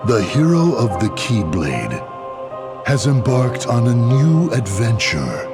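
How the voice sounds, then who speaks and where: An actor playing an imperious, coldly calm villain leader in a video game, grave and theatrical. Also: male voice, medium pitch, takes it slowly, loud music behind the voice, exaggerated voice. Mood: commanding. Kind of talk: video game cutscene acting.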